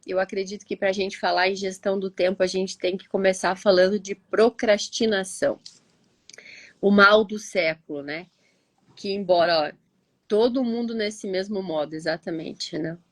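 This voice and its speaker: A woman's voice: mid-range voice, moderate pace, no room sound. A stuffy-nosed woman teacher from Curitiba with a Brazilian Portuguese accent, explaining in a chatty live talk, often tagging on "né?". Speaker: teacher and live show co-host. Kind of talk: casual explanatory chat.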